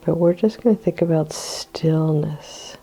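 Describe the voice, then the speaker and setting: Older woman, meditation guide, hoarse with a cold; low, dark, gravelly tone, gentle and unhurried. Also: soft background music, poor audio. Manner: slow, soothing, with throat clearing.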